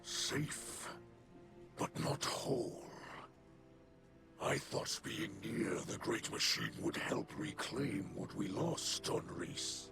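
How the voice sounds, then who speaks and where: An actor voicing an ailing, proud male alien leader with a breathy, hissing voice, speaking slowly and gravely. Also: lowish voice, big pitch swings, full character voice. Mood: despairing. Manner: slow, grave, dramatic rises. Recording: game soundtrack under voice. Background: music.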